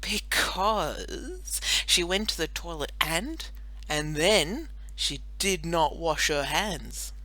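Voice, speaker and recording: A woman reads as a serious, self-certain schoolgirl in a storybook. Her voice is very bright and lively in pitch, with deliberate, insistent emphasis. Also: exaggerated voice, low-quality recording.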